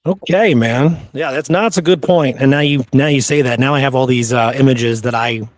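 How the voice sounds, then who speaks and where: Man, mid-range pitch, podcast host, informal history discussion, conversational, dry sound. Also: fairly quick, no room sound.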